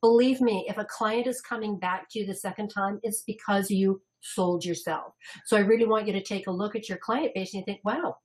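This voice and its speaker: A woman's voice: mid-range voice, fairly quick, hardly any pauses, darkish tone, low-quality recording. A woman consultant presenting a salon business webinar in a chatty, upbeat way, laughing easily.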